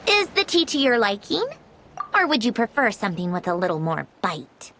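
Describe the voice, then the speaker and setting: A woman's voice: mid-range voice, sing-song, normal speed, noisy background. A woman playing a poised, courteous young noblewoman with a teasing edge in a video game, speaking formally and politely. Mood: gracious, composed.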